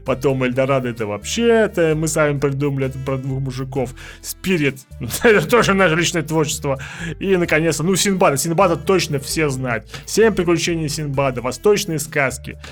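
A man's voice: a little high, animated, a little fast, soft background music. A man co-hosting a podcast in casual chat, rambling and stammering as he searches for his words. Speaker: podcast co-host.

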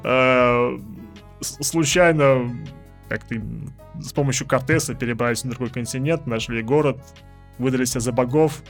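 A man in a casual, joking podcast chat about films, speaking haltingly with repeated words and irreverent, coarse slang. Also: mid-range voice, soft background music. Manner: halting, stammering, repeats words as thoughts form. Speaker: podcast co-host. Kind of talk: casual joking film discussion with friends.

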